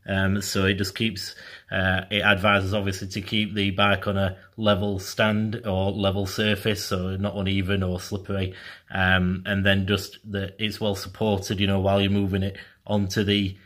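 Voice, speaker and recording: Low, flat-voiced man in a garage. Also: moderate pace. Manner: hesitant, many ums and uhs. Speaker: product reviewer. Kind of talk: casual product demonstration. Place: home garage. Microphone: mobile phone camera. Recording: echoey garage.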